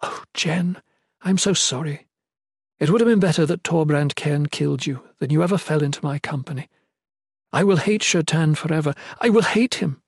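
Man voicing a desperate young queen, pitched high. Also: moderate pace, slightly rough, no room sound, studio quality. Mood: anguished.

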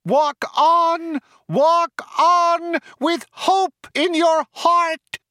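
A man's voice: sits high, big pitch swings, unhurried, sharp tone, clean tone, dry recording, clean studio sound, pitched above the performer's own voice, full character voice. A man voicing a relentlessly cheerful ship's computer in a nasal, whining voice, over-familiar and given to crooning. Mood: crooning, wailing. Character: chirpy, relentlessly cheerful male ship's computer, singing mawkishly.

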